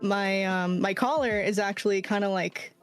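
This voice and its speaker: A woman's voice: medium pitch, fairly even intonation, moderate pace, faint music behind. A woman with a Boston accent, a guest chatting casually about her character's backstory, full of fillers and casual swearing. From near Boston, US East Coast. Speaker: guest, VTuber.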